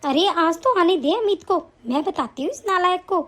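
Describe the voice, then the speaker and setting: A woman acting a high-pitched, spiteful scolding mother-in-law. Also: lively intonation, moderate pace, a bit bright. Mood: angry, threatening. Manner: scolding, threatening, complaining. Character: spiteful, scheming, hot-tempered mother-in-law. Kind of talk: domestic family melodrama acting.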